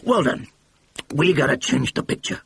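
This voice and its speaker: A man reading aloud, voicing a short, fat, excitable film producer with a cigar. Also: on the high side, moderate pace, gravelly, breathy edge, no echo, full character voice. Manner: blustering, excitable, slangy.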